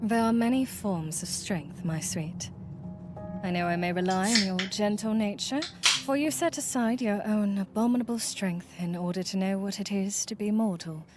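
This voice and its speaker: A woman acting out an earnest, anxious old friend in a gothic fantasy visual novel. The delivery is dramatic and breathy, with ornate formal phrasing and a mid-pitched voice, set over prominent music. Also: normal speed, lightly acted. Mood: fond, tender. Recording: music playing behind the voice.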